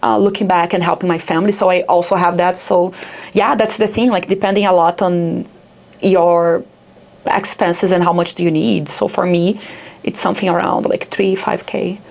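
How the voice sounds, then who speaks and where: Brazilian woman, chatty and low-pitched. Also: fairly even intonation, moderate pace, vocal fry, darkish tone. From Brazil, Rio de Janeiro. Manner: chatty, many fillers like "like" and "yeah". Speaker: startup founder, guest. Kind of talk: casual interview talk.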